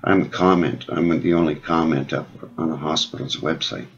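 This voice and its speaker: A very low-voiced man speaks as a caller pressing his concerns in an informal way. He is insistent and rambles, on a muffled, lo-fi phone recording. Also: steady tone, normal speed. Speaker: caller making a complaint. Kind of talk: phone call, informal advocacy talk. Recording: dull lo-fi phone call recording.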